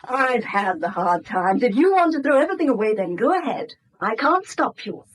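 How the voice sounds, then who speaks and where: An embittered British wife in vintage radio drama. Her voice is on the high side, emotional and pleading, with a cutting, reproachful edge. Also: big pitch swings, moderate pace, poor audio. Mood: wounded resolve. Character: anxious, embittered wife, pleading and sharp-tongued.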